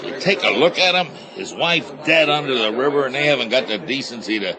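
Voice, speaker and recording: An actor playing a harried, irritable police captain in a radio drama, brusque and commanding. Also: male voice, medium pitch, animated, a little fast, natural voice, low-quality recording. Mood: irritated. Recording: loud crowd noise behind.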